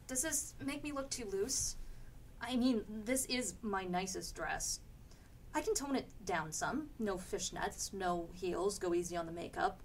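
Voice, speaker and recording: A woman acts out a self-conscious, fretful character in a radio play monologue. Her voice is medium in pitch and chatty, often groping for the right word. There is faint noise behind her. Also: close to an everyday voice. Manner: chatty, searching for words.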